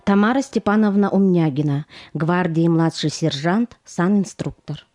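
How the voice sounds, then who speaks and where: A woman narrating a scripted documentary drama in a solemn, measured manner. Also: lowish voice, darkish tone, soft background music.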